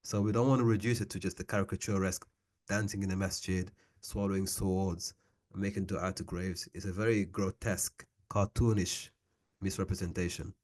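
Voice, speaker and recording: A man with a fairly low voice leads an informal discussion as a teacher would. He speaks emphatically, often repeating short phrases to drive them home. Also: fairly even intonation, moderate pace, a little mellow. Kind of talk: book club discussion, informal lecturing.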